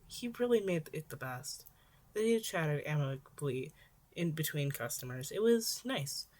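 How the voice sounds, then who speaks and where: A woman's voice: deep voice, animated, measured pace, darkish tone. A woman narrating fan fiction in an amateur audiobook style, now and then stumbling and rereading words.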